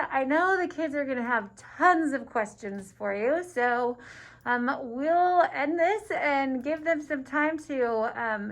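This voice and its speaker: A woman's voice: high-pitched, a bit bright. A woman speaking informally and encouragingly as a teacher, moderating children's questions in a casual, friendly way. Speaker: teacher hosting a student question session.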